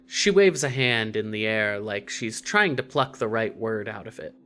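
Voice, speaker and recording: Lively, swooping story narrator. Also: mid-range voice, moderate pace. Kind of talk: scripted second-person story narration.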